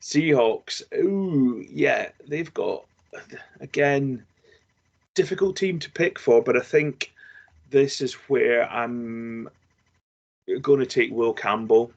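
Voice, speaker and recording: A man co-hosting a casual football draft panel, pausing often with lots of ums. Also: medium pitch, vocal fry. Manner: hesitant, many ums, laughs easily. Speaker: draft analyst, co-host. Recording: dull lo-fi audio.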